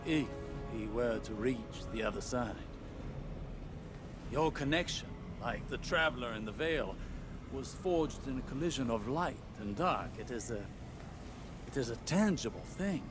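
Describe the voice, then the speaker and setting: A man's voice: medium pitch, lively intonation, natural voice. Earnest scholarly man, an actor's role over music. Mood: careful, insistent. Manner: precise, explanatory, slightly halting. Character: earnest, scholarly male sage explaining a plan. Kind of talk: dramatic game cutscene acting.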